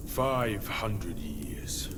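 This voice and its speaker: A proud demon bear lord in a game cutscene, low-voiced and very slow, dwelling on each word before lurching into wide swings of pitch. He broods and taunts with menace. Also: lively intonation, heavy background noise, exaggerated voice. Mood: brooding. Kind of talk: video game cutscene acting.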